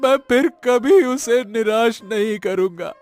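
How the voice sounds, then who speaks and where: A man's voice: very high-pitched, slow, darkish tone. A man playing a poor, remorseful woodcutter husband in a cartoon story, with a wailing, melodramatic manner. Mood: grieving, remorseful.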